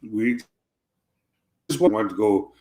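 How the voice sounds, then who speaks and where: A man with a deep voice, a country singer talking casually about his music over a video call, often restarting and repeating words. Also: takes it slowly, darkish tone.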